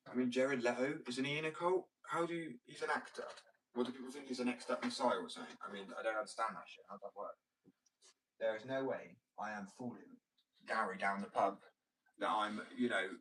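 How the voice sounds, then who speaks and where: A man's voice: fairly low pitch, sharp tone, far from the mic, slight background noise, rough recording. A British man chatting casually on a livestream, rambling and cutting himself off mid-sentence. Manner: rambling, self-interrupting, swears freely. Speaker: livestreamer reading chat. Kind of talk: casual stream chat while working.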